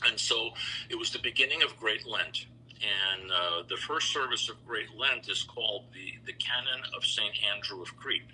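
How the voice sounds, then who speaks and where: A middle-aged man with a low, very bright and forceful voice. He talks conversationally as an interview guest, telling stories and acting out remembered dialogue. There is faint noise behind him. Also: poor audio. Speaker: interview guest, businessman and choral bass singer.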